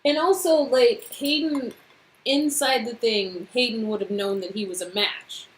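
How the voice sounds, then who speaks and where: A woman's voice: a little high, steady tone, unhurried. A woman co-hosting, reacting to a story game in casual chat. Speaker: channel co-host.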